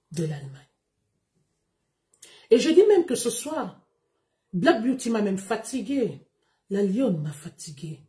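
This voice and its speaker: A woman, talking straight to her followers on a livestream. Her voice is mellow and warm, and her pitch rises and falls in big, lively swings. She speaks unhurriedly and declaims each point with weight. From Gabon. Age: 30s. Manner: emphatic, declamatory, deliberate. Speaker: live streamer.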